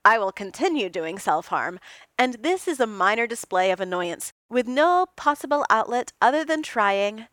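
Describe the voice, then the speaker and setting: A woman voicing a sarcastic, self-pitying man who snaps and whines loudly. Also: a little high, sing-song, moderate pace, dry recording. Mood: exasperated. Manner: snappish, whiny, loud.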